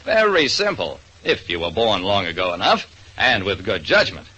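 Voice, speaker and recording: A man's voice: fairly low pitch, huge pitch swings, moderate pace, pushed and bright, slightly rough, full character voice. An actor playing a wry private detective who narrates his case in 1940s radio drama style. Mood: brisk, wry. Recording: noisy vintage radio recording.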